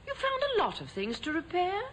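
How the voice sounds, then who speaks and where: An actress voicing a fussy, easily flustered elderly widow in a radio drama, with a quavery way of talking. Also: female voice, very high-pitched, wildly swooping pitch, brisk, fairly bright tone, exaggerated voice. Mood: disappointed. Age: older. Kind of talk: 1970s radio drama acting. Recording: hissy old radio broadcast.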